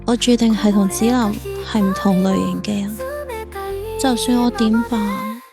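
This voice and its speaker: An actress playing a sweet, gentle young woman in love in an audio drama, tender and girlish, with a Hong Kong Cantonese accent. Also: medium pitch, steady tone, a little slow, vocal fry, music in the background. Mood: wistful.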